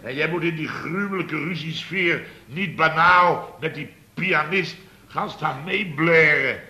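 Loud-mouthed dutch builder boss, sharp highish voice, sputtering and grumbling, old radio comedy w some hiss. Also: male voice, unhurried. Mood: scolding. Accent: Netherlands Dutch. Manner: exasperated, sputtering, long-winded comic grumbling. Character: blustering, indignant male boss of a building firm. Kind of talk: Dutch radio comedy acting around 1970. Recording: hissy old radio recording.

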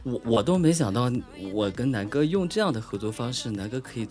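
Animated man hosting over music. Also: medium pitch, brisk. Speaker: host of a radio drama fan talk. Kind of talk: casual chat with scripted questions. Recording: music behind the voice.

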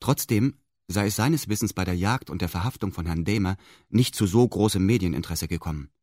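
A man's voice: deep voice, brisk, a little mellow, no room sound, studio quality. A male actor playing a detached first-person radio drama narrator with precise, stilted, bureaucratic phrasing. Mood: detached, formal.

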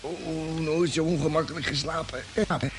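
A man's voice: a little high, fairly even intonation, a little fast, vocal fry. An actor playing a drowsy, self-pitying, comically complaining spaceship crewman in a radio drama. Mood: groggy, complaining. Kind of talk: 1950s radio drama acting. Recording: old radio recording, faint hiss.

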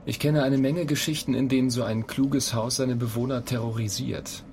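A man's voice: mid-range voice, fairly even intonation, normal speed, slight background noise, natural voice. An actor playing an impatient, sarcastic male space traveller in a radio drama.

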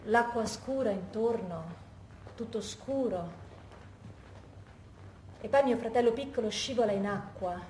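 In an echoey space with some noise, an actress plays a haunted woman telling her memories as a stage monologue. Her voice sits fairly high, and she tells it reflectively, in the first person. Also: unhurried, pauses often, darkish tone, natural voice. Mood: anguished remembering. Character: haunted woman recalling tragic years and recurring nightmares. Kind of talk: theatrical monologue from oral testimony. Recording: echoey room with background noise.

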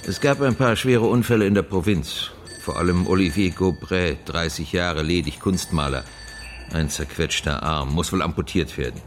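A man's voice: sits low, moderate pace, slight background noise, lightly acted. A man acting a matter-of-fact police commissioner in a German radio crime drama, speaking in clipped, unsentimental reports.

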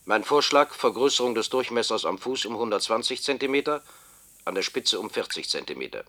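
An actor playing an efficient brain-bank engineer in a German science fiction radio play, polite, precise and businesslike. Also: male voice, fairly low pitch, animated, brisk, bright, forceful tone.

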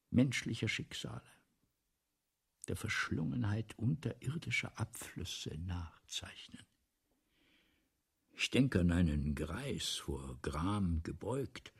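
A man acting in a German radio play as a grandiose, excitable playwright, pompous and theatrical, moving between whispers and outbursts. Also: fairly low pitch, gravelly, breathy edge, full character voice. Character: grandiose, excitable male playwright scheming a lurid melodrama.

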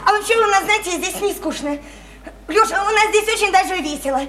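A woman's voice: extremely high pitch, fairly quick, fairly bright tone. An actress in a Russian radio play playing a naive, chatty, insistent provincial woman, eager and coaxing, with colloquial, repetitive talk. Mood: excited, chatty. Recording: roomy, slightly noisy radio play recording.

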